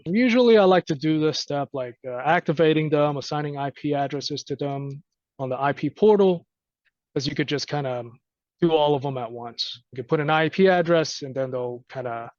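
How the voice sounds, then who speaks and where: A man working as a technical trainer gives an unscripted, step-by-step software walkthrough, informally thinking aloud as he goes. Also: a little high, no echo. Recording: dry, dull lo-fi audio.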